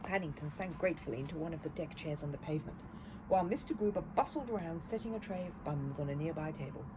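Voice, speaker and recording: A woman reading a children's story aloud as an expressive narrator. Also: low voice, fast talker. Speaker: storybook reader. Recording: clear background noise.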